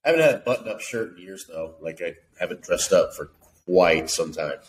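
A man's voice: low-pitched, animated, a little fast, slight background noise. A man in his thirties, congested and laid-back, chatting on a video call headset. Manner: laid-back, crude humor. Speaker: podcast co-host. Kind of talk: casual chat.

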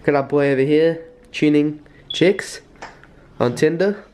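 Casual young man, higher-pitched, roomy sound. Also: measured pace, a little mellow. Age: young adult. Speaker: vlog co-host. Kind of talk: casual chat to camera. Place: small bedroom, indoors. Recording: roomy, faint background noise.

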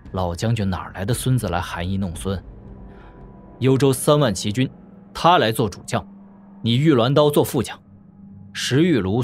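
An actor playing a calm, assured young lord who commands troops with casual authority in an audio drama. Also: male voice, medium pitch, slight background noise, close to an everyday voice. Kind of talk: Chinese audio drama acting.

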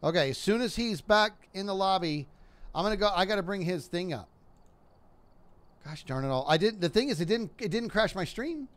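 A man's voice: sits high, lively intonation, moderate pace. A man streaming a game, chatting casually with rambling restarts and frustrated muttering. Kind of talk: casual gaming stream chat.

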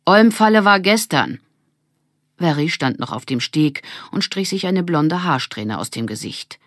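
A woman reading a children's audiobook as narrator, with expressive scripted storytelling. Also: sits low, normal speed, dry recording, studio quality.

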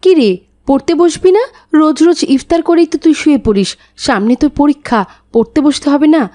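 A fed-up cartoon mother, played by a woman, nags and scolds reproachfully. Her voice is high-pitched yet mellow. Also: moderate pace, lightly acted. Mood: scolding. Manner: nagging, reproachful.

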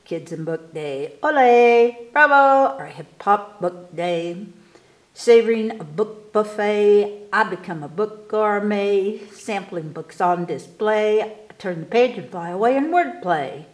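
A woman reading children's poems aloud at storytime, expressively and with careful, animated delivery for young listeners. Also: medium pitch, roomy sound. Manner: animated, unhurried, enunciating for children. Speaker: library storytime reader. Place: indoors in a room, book filmed on table.

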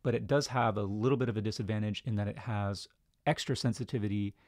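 A man's voice: on the low side, quick pace, darkish tone, no echo. A man in his thirties talking casually and conversationally as a tech reviewer, close on a studio microphone in a small home studio. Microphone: close studio microphone on desk stand.

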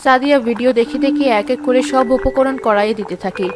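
A woman's voice: high-pitched, fast, noisy background. A woman reading scripted third-person narration for a cartoon story.

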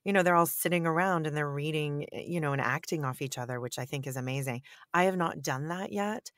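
A woman who narrates audiobooks, with a deep, raspy voice, chatting enthusiastically in an interview and often saying "you know.". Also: fairly quick, a bit bright, dry recording, studio quality. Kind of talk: conversational interview answers.

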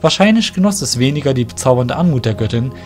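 A man narrating a fantasy parody audio drama in a measured, descriptive scripted reading. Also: mid-range voice, animated, soft background music.

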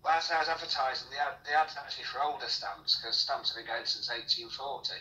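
A man with an English accent talks politely and matter-of-factly in a casual conversation, heard through a phone loudspeaker. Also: medium pitch, fairly even intonation, fast talker, muffled recording. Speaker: call recipient, stamp buyer. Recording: distant, noisy, echoey speakerphone.

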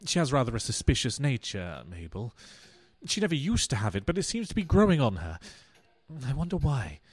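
Man acting a pompous, lovelorn husband, animated. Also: medium pitch, a little fast, husky. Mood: lovelorn, self-pitying. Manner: earnest, florid, grandiose. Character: pompous, self-pitying, self-deceiving philandering husband. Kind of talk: stage-style radio play acting.

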